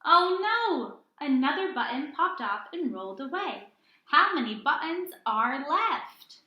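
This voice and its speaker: A woman teacher reading aloud to young children in a deliberate, sing-song way, asking questions and answering them herself. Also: high-pitched, sing-song, echoey room, lo-fi sound. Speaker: early-grades teacher.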